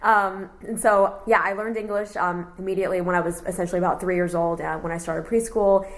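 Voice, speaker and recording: Lower-voiced woman in her thirties, rambling. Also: fairly quick. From Houston, Texas. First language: Cantonese. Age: 30s. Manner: relaxed, rambling, many "you know" fillers. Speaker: interviewee. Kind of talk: oral history interview.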